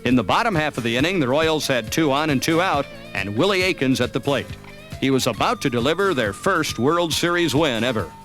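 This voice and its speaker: Lively American sports narrator over music. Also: male voice, mid-range voice, brisk. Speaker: documentary narrator. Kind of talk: scripted sports recap narration.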